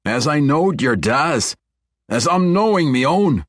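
A blunt old dwarf king, put on by a male reader, speaking in a raised, hearty brogue with lively rises and falls. He presses with pointed questions, gruff but fatherly, in a dry, close storybook reading. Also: on the high side, a little slow, no room sound, pitched above the performer's own voice. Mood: probing.

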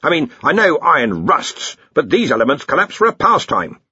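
Man putting on a blustering old wizard boss, husky. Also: on the high side, sing-song, normal speed, sharp tone, dry recording, dull audio, voice pushed up, heavily acted. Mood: gruff, dismissive. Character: blustering, bossy older male wizard university head.

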